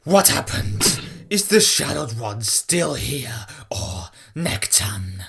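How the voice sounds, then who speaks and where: A man voicing a defiant, power-hungry mutant villain in an animated fan dub, menacing and theatrical, with loud music over him. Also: mid-range voice, gravelly, a crowd in the background, heavily acted. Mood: angry. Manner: menacing, theatrical, slow and volatile.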